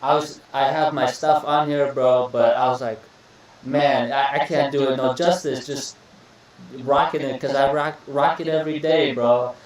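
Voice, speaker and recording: A young man from Iraq hosting a podcast interview, relaxed and chatty, often repeating words and calling his guest "bro.". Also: mid-range voice, normal speed, recorded from a distance. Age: young adult. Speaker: podcast host. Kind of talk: casual interview chat. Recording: echoey room, voice a bit off-mic.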